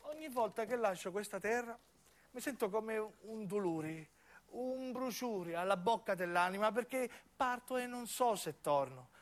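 A man's voice: sits high, expressive, a little slow. A man with a southern Italian accent narrating a first-person story as a stage actor, in lyrical, repeating phrases.

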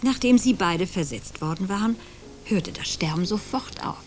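An actress playing a calm, ironic woman who quietly accuses two men in a radio play, music behind her. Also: medium pitch, moderate pace, close to an everyday voice.

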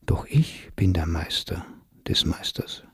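A man's voice: expressive, slow, in a whisper. An actor playing a brooding, grandiose man who reads an archaic occult book aloud in an ominous, declamatory way for a radio play. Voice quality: deep, very creaky voice.

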